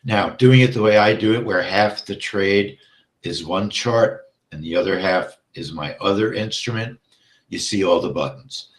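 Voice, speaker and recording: A man teaching a live trading session, explaining the setup step by step and checking in with "okay?", his voice going hoarse as he clears his throat. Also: on the low side, normal speed, reverberant. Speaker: trading instructor.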